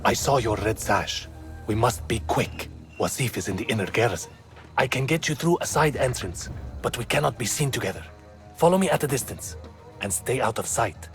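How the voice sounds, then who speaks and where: An actor playing a cautious rebel guide in a video game, giving urgent, hushed instructions. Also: male voice, medium pitch, animated, normal speed, lightly acted. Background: game music score.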